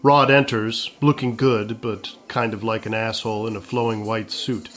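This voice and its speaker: A man's voice: on the low side, flat delivery, normal speed, a bit bright. A man narrating a comedy script, reading out the stage directions.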